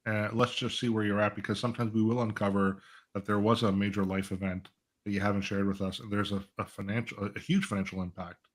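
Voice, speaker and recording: A man's voice: lowish voice, level tone, fast. A man talking conversationally as a financial advisor on a panel, explaining things through little imagined dialogues and check-ins. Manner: explanatory, uses acted-out client dialogue and rhetorical check-ins. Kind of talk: conversational live panel talk.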